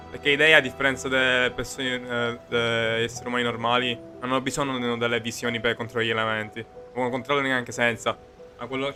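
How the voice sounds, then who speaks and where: A man giving casual, rambling game commentary, halting and correcting himself as he goes. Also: mid-range voice, pushed and bright. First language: Italian. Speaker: game streamer. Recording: game music clearly behind voice.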